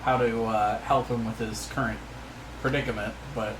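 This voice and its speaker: A man's voice: lowish voice, level tone, normal speed. A man playing a tabletop game, talking things over casually and carefully, often repeating the start of a phrase. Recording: roomy echo, background noise.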